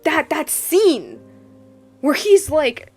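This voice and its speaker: Slow-paced, high-voiced woman streamer. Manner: excitable, slangy, exclamatory. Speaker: streamer reacting to a game. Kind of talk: casual gameplay commentary. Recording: music behind the voice. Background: game music.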